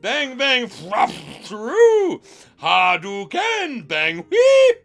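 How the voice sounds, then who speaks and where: A man with a bright, high voice reads fan fiction to a livestream in a hammy, mocking way. His delivery swings widely in pitch and can burst into shouting. Music plays behind him. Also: huge pitch swings, very slow, vocal fry. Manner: hammy, breaks into shouts and laughter. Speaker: streamer reading fan fiction aloud. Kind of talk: mocking dramatic reading on a livestream.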